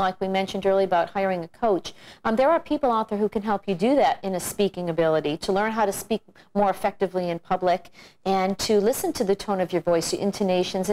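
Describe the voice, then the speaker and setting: A middle-aged woman, a pageant coach and judge, giving measured, encouraging advice in an instructional video. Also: medium pitch, a little mellow.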